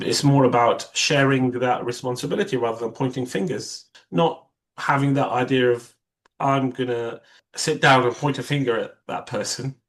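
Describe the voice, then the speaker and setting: A young man whose first language is Arabic talks through his ideas in an interview. His voice sits at a mid pitch, and he pauses and restarts often as he searches for words. He acts out other people's remarks as quotes in passing. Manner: hesitant, with frequent restarts and quoted asides. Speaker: vascular surgeon, interview guest. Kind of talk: reflective interview conversation.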